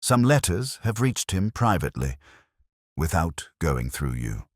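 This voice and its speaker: A man's voice: low voice, sing-song, a little slow, no echo, clean studio sound, exaggerated voice. A man playing a mild, shrewd police inspector in an audiobook, polite and understated as he questions. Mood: courteous probing.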